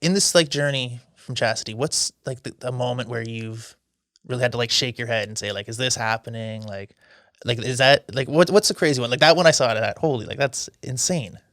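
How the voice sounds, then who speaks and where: A man's voice: mid-range voice, a little fast, a bit bright, dry recording. Easygoing male podcast host, dry sound. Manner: easygoing, supportive, trailing questions. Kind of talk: casual interview chat. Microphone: studio microphone.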